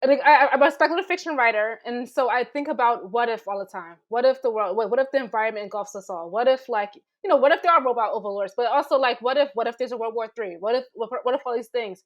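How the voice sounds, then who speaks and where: Female writer on a panel, highish pitch, informal, searching delivery. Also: fast, hardly any pauses, lo-fi sound. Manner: informal, tentative, searching for words. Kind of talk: casual panel discussion.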